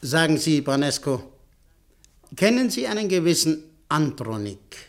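A curt, ruthless tycoon. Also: male voice, on the high side, sing-song, unhurried. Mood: commanding. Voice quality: curt, commanding. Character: cold ruthless male newspaper owner, commanding. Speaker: businessman Butschan. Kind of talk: 1950s-60s radio play acting.

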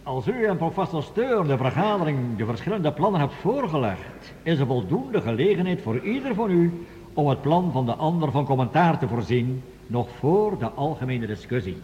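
A diplomatic chairman in a radio drama, formal and insistent as he keeps order. His voice is fairly high and animated, ringing in a large hall. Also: moderate pace, lo-fi sound, a little hiss or hum. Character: courteous male chairman trying to keep order. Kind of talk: radio play acting, chairing a meeting. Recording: long reverberant hall, radio play production.

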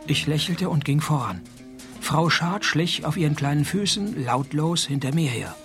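Wry, clear-voiced-light private eye. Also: male voice, a little high, normal speed, faint music behind. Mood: deadpan narrating. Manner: dry, deadpan, ironic. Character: wisecracking, cocky male private detective posing as night porter. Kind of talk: radio play dialogue and first-person detective narration.

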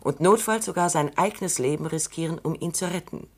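A cool, exacting female scientist in a radio play. Her voice is very low for a woman, measured and precise as she lays out her arguments. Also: breathy edge. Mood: lecturing. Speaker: robot psychologist Dr. Calvin. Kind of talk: radio drama acting.

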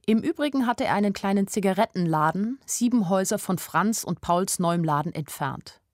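A woman's voice: medium pitch, animated, normal speed, no room sound, clean studio sound, lightly acted. An actress playing an anxious young woman who narrates her own story in a radio drama, in a plain, introspective way. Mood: sober narrating.

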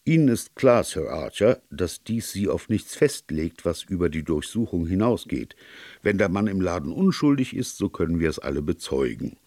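A man's voice: sits low, sing-song, moderate pace, a little mellow, no room sound. A man voicing a courteous, calculating police chief who speaks formally and deferentially in an audiobook story.

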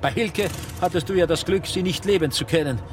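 An actor plays a middle-aged teacher in a radio drama, agitated and full of self-pity. His fairly high voice is emphatic and impatient, with a sardonic edge. Clear street-like noise runs behind him. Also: fairly quick, lightly acted. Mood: sardonic, pleading.

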